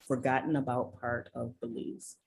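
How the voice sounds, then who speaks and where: A woman from Michigan telling her story as an interview guest, slow and earnest, with frequent ums and restarts over a video call. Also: a bass voice, flat delivery, a little mellow, a little hiss or hum, low-quality recording. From Michigan, USA. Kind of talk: reflective personal storytelling. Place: rural village in southern Belize.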